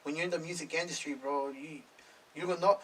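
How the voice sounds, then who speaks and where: A man's voice: on the high side, fairly even intonation, brisk, husky. A man in his thirties, a music producer guest, talking in casual slang with lots of "bro" and "homie". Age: 30s. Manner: slangy, swearing, fillers "bro" and "homie" throughout. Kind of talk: casual interview talk. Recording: distant mic with faint noise.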